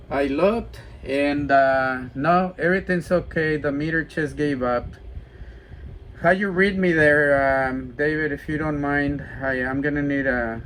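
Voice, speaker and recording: Slow-talking man with a bright voice. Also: fairly high pitch, a little hiss or hum, rough recording. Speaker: amateur CB radio operator demonstrating a radio for sale. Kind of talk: casual radio chat and product demonstration.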